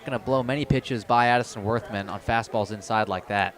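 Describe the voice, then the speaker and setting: A man's voice: on the low side, a little fast, a bit bright. A man giving conversational, explanatory color commentary on a live baseball radio broadcast. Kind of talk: live radio sports analysis.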